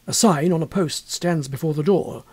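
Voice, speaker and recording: A man with a husky voice narrating a literary ghost story in a measured, expressive way. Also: fairly high pitch. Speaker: audiobook narrator.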